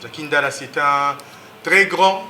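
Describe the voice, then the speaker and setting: A man's voice: fairly high pitch, measured pace, pushed and bright, a little hiss or hum. A man hosting a TV talk show, speaking emphatically and pushing up on key words, with hesitant fillers. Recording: roomy studio echo.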